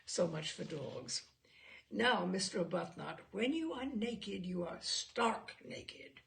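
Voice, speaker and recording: Formal, creaky-voiced courtroom examiner read by a woman. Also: lowish voice, animated, takes it slowly, a bit bright, slight background noise, exaggerated voice. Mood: brisk, probing. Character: formal, methodical examiner questioning an expert witness.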